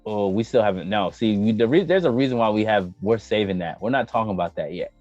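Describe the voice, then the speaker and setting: An American man co-hosting a casual chat, slangy and profane, breaking into exclamations and halting as he gathers his opinions. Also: fairly low pitch, lively intonation, a little mellow. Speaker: podcast co-host. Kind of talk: casual banter about movies and anime. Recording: roomy, dull microphone sound with music behind.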